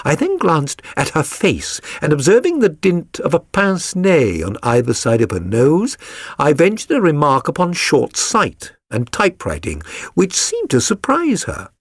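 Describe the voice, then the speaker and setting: A man's voice: fairly high pitch, wildly swooping pitch, measured pace, dry recording, clean studio sound, exaggerated voice. A man voicing a keen, self-assured detective for an audiobook, with a British accent and a precise, lecturing, condescending manner. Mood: pleased, instructive. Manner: precise, didactic, theatrical. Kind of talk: dramatised audiobook reading.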